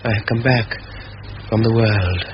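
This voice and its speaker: An actor playing an ardent young Welsh scholar in a 1940s radio drama, with a Welsh accent and an articulate, fervent delivery. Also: male voice, fairly low pitch, fairly even intonation, a little slow, lightly acted. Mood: fervent, defiant. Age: young adult. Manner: fervent, articulate, pouring out words. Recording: dull, noisy vintage radio recording.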